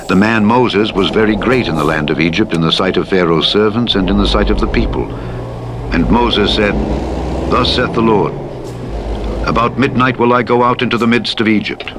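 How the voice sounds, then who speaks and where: Low-pitched man solemnly reading scripture. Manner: measured, formal delivery. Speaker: Bible narrator. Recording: steady vehicle noise over playback. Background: truck simulator engine and road noise.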